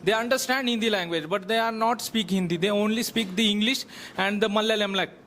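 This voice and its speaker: A man from Maharashtra, a Marathi speaker, arguing in a classroom debate in halting English full of fillers. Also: sits high, a bit bright. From Maharashtra, India. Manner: halting non-native English with many fillers. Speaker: debate participant. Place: large classroom.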